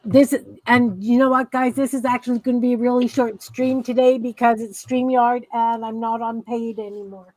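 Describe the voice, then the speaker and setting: A woman from Canada hosting a casual craft livestream, chatty and rambling with quick laughs. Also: on the high side, fairly even intonation, warm tone, a little hiss or hum, rough recording. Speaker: livestream host.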